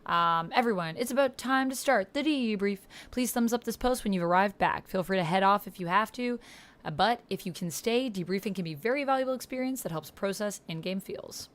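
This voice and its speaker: A woman's voice: medium pitch, expressive, brisk. A woman hosting a livestream, chatting informally, thinking aloud and reading on-screen text. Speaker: livestream host and player.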